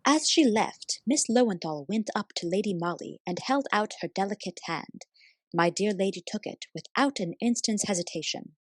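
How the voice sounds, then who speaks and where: An actress playing a devoted female assistant who narrates a detective radio play in an expressive, literary way. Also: medium pitch, normal speed, dry recording, close to an everyday voice. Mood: wondering, devoted. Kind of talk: dramatic first-person radio play narration.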